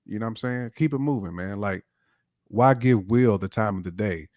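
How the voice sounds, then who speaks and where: A man's voice: lowish voice, normal speed, darkish tone, no echo, studio quality. A man on a podcast panel talking in casual slang, emphatic and repeating phrases for punch. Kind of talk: casual banter, slangy commentary.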